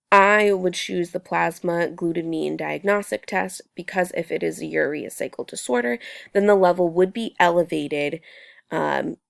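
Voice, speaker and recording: A young woman student with a cold, explaining coursework in a measured way with frequent ums and restarts. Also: low-pitched, vocal fry, no echo, clean studio sound. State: sick with a cold, voice strained. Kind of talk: recorded class presentation.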